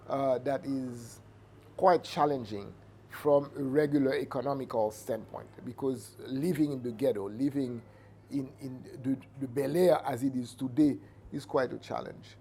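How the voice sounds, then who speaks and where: A middle-aged man with a Haitian accent giving reflective interview answers as a Vodou priest, measured and halting as he searches for words. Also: medium pitch, dark tone. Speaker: Vodou priest and cultural entrepreneur. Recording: noticeable background ambient noise.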